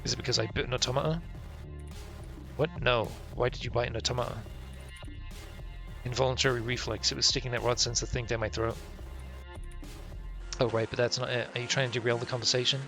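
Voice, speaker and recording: A man's voice: medium pitch, steady tone, fairly quick, gravelly, long pauses, bright, forceful tone. A man live-streaming a game, reading its text aloud and adding casual, sweary, offhand comments, with game music behind him. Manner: casual, profane, offhand asides.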